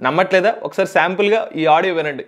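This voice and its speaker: A man with a Telugu accent hosting a step-by-step software tutorial, instructional and mixing in English tech terms. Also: high voice, quick pace. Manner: instructional, mixes English tech words into speech.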